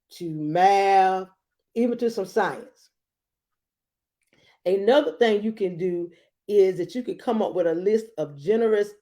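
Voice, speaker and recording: A woman reading a storybook aloud like a teacher, adding expressive explanations and checking in with her listeners. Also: lowish voice. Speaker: children's book read-aloud teacher.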